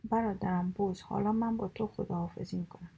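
A woman's voice: medium pitch, fairly even intonation, vocal fry, mellow, slightly rough, rough recording. A woman voicing a cunning male coyote in a whispered fable, smug and persuasive. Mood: mocking.

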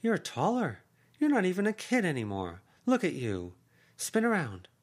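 A man's voice: high voice, wildly swooping pitch, normal speed, pitched above the performer's own voice, heavily acted. A man voicing a cheerful, effusive aunt who talks in an emphatic way. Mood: gushing. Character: cheerful gushing adult aunt, woman.

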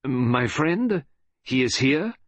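A man voicing a courteous, shrewd detective in an audiobook, with a gentle, precise, self-assured manner. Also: mid-range voice, very animated, slow pace, no room sound, lo-fi sound, voice pushed up. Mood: gentle, composed. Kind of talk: audiobook character voice.

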